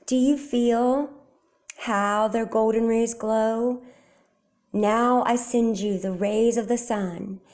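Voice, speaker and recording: A woman reads the part of a dreamy male field mouse who is a poet. The voice sits a little high and moves deliberately, calm and simple, as in a children's storybook. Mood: soothing. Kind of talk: children's storybook read-aloud.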